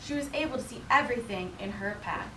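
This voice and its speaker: A woman teacher reading a picture book aloud expressively to a class, in an animated way. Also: mid-range voice, quick pace. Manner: animated, acts out character dialogue. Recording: roomy, distant mic with background noise.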